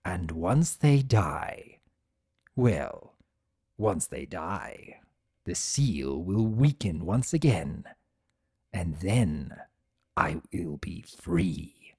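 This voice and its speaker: Man as pompous, bitter male chaos spirit in a dramatic story reading; sardonic, widely swooping pitch, unhurried. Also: very animated, dark tone, breathy edge, no echo, clean studio sound, heavily acted. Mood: menacing. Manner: theatrical, sardonic, seething.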